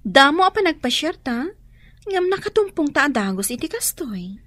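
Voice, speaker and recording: Sweet, animated, high-voiced companion. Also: female voice, normal speed. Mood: cheerful. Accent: Ilocano. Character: sweet, encouraging woman deferring to her boss. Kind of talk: radio drama acting.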